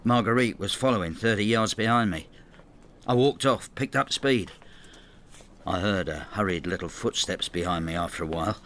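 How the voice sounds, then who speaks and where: Actor playing a low-voiced, brooding older man. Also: moderate pace, a bit bright, lightly acted. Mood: troubled reflection. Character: brooding older Frenchman, resentful, inward, estranged husband. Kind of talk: radio drama, intimate first-person narration and dialogue.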